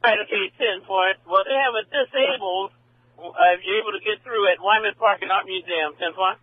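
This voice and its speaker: Male radio dispatcher, high-pitched, dry and phone-thin police transmission. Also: fairly quick, slightly rough, dry recording, narrow, tinny audio.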